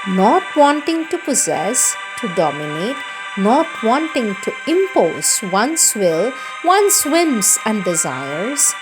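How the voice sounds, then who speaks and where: Slow, high-pitched woman reading devotional text. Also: very animated, over music, low-quality recording. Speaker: reader of spiritual texts.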